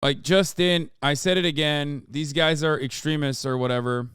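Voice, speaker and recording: Sarcastic, profane male streamer, crisp voice. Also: fairly high pitch, steady tone, a bit bright, dry recording, clean studio sound. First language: Turkish. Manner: profane, sarcastic, rapid rants. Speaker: streamer host. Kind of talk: casual live-stream news commentary.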